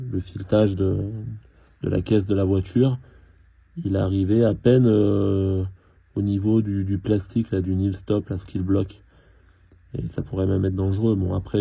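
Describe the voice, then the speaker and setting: A man talking casually and haltingly through hands-on work, thinking aloud as he goes. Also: deep voice, gravelly, mellow, breathy edge, no echo, highs cut off. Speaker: car mechanic hobbyist filming his own repair. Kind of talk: casual hands-on tutorial narration.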